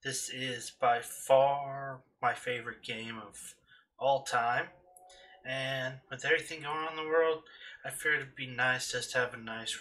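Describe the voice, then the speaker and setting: A man's voice: mid-range voice, sharp tone. A man hosting a casual video game playthrough, commenting and explaining as he plays. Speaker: gaming channel host. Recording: distant mic with faint noise.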